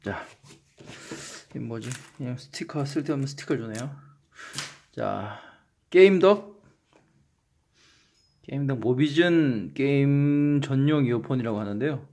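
A man's voice: medium pitch, lively intonation, unhurried, pauses often, noisy background. A man with a Gyeongsang accent hosting a casual unboxing vlog, joking at his own expense and tripping over his words. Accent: Gyeongsang Korean. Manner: joking, self-mocking, trips over words. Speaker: channel host.

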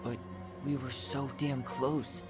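A man's voice: mid-range voice, flat delivery, slow pace, close to an everyday voice. Young American male actor, driven game character, earnest and pressing, naturalistic delivery, prominent music bed. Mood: shaken. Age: young adult. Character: earnest, driven young man pleading with his sister. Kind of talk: video game cutscene acting. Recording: game dialogue under loud music.

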